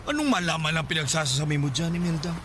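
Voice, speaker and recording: Sassy eatery owner, very animated. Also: male voice, a little high, fast, heavy background noise. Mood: sarcastic, scolding. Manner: sarcastic, gossipy, expressive. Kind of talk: radio drama acting.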